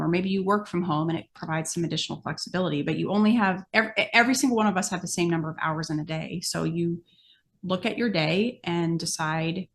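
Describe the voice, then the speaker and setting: A middle-aged woman with an American accent talks in a fairly low voice with a lot of creak. Her delivery is conversational and plain-spoken, laying things out step by step as in a casual podcast. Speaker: podcast guest, amateur horse exhibitor. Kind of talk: casual explanatory podcast chat.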